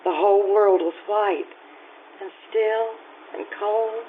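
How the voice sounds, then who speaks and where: An actress playing a harried, wistful housewife and mother in an old-time radio drama, with theatrical pauses. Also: mid-range voice, steady tone, background noise, natural voice. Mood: nostalgic, wistful. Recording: tinny band-limited radio play recording.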